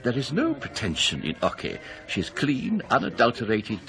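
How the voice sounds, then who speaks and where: Haughty, eccentric country squire, radio drama. Bright forceful edge, precise declamatory phrasing, very varied pitch. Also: male voice, huge pitch swings, moderate pace, vocal fry, full character voice. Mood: scornful. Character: embittered eccentric country gentleman, haughty and cryptic. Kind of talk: 1990s British radio drama acting.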